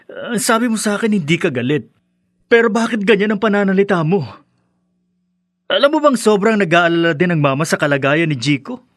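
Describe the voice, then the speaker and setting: A man playing an anxious, placating husband who speaks haltingly in a radio drama. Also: high voice, expressive, dry recording, clean studio sound. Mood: defensive, pleading. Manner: hesitant, halting. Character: anxious, placating husband torn between wife and mother. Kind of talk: Tagalog radio drama acting.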